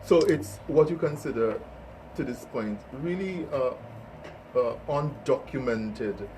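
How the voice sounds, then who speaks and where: A man's voice: mid-range voice, expressive, slow. A man, hosting an informal interview and speaking as an organiser. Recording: distant mic, faint background noise.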